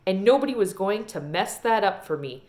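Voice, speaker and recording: Female read-aloud narrator for children's fiction, lively and varied intonation, mid-range pitch. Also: moderate pace. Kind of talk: expressive children's novel narration.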